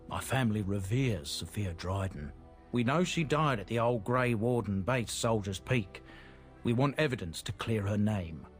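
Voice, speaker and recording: An actor playing a chatty, earnest merchant from a proud but disgraced family in a fantasy video game. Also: male voice, fairly low pitch. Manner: folksy, chatty, inverted phrasing. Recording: game audio with clear music behind. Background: game music.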